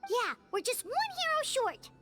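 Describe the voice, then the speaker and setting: A woman's voice: extremely high pitch, very animated, unhurried, over music, heavily acted. A woman voicing a small, childlike, eager fairy companion in a video game. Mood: chirpy.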